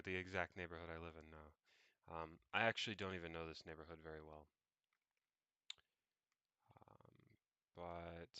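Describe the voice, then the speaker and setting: A young man narrating what he does on screen, unscripted, in a halting, meandering way as he thinks aloud. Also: low-pitched, sharp tone, clean tone, no room sound. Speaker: vlogger.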